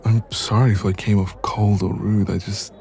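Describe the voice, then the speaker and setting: Male actor as insecure young man in ASMR roleplay: very deep, mellow, hesitant delivery. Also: flat delivery, vocal fry, faint music behind. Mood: hesitant, apologetic. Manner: hesitant, soft-spoken, trailing pauses. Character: insecure, anxious young man confiding in a friend. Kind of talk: intimate ASMR audio roleplay.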